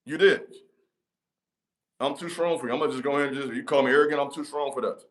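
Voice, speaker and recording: A man, giving a motivational talk to camera in an emphatic, repetitive cadence. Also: fast. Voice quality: deep voice. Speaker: channel host.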